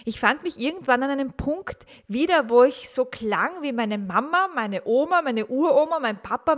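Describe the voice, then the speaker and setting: Woman host, 40s, unhurried reflective storyteller, solo podcast. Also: sits high. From grew up in the 1980s and 1990s. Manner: calm, personal storytelling with rhetorical questions. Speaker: podcast host, teacher and mother.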